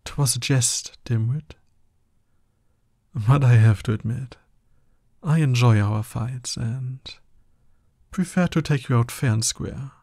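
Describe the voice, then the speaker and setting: A man's voice: medium pitch, takes it slowly, pauses often, dark tone, dry recording, studio quality. A man playing a smug, dominant villain in an ASMR roleplay, taunting his hero nemesis with drawling exasperation. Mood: cold, teasing.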